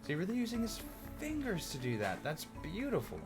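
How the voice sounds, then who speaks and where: Loud animated male streamer over music. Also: high voice, a little fast. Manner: animated, exclaiming, reacting to chat; opinionated rambling storyteller. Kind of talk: casual livestream chat. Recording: music playing clearly behind voice.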